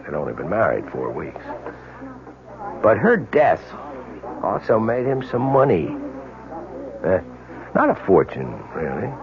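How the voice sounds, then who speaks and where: An American actor playing a dogged, world-weary police detective in a radio drama, speaking earnestly and insistently with deliberate dramatic pauses. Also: male voice, fairly low pitch, big pitch swings, crowd noise. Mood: grim, wry. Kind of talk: 1970s radio drama acting. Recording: dull, lo-fi old radio drama recording.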